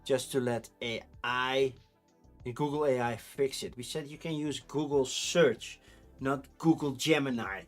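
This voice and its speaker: A man's voice: mid-range voice, a little slow, soft background music. A man in his thirties with a Dutch accent, rambling casually on a live stream. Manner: rambling, chatty, with frequent restarts and laughs.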